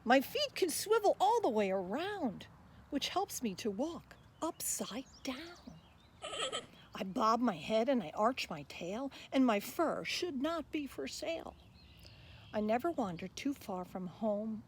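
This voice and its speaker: A woman voicing a cute, gentle red panda in a sing-song rhyming storybook delivery, pleading for its home. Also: on the high side, very animated, unhurried, husky, full character voice. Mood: playful, pleading.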